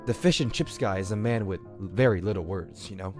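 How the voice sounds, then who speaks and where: A man's voice: sits low, brisk, over music. A man livestreaming a game with casual, rambling, slangy commentary. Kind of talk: casual gameplay commentary to chat.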